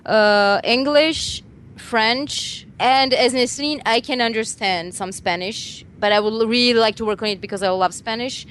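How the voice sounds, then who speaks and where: A woman's voice: on the high side, a little slow, a bit bright. Woman, Serbian accent, non-native English, video call interview, hesitant, faint noise. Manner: hesitant, many fillers and restarts. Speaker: band singer and composer.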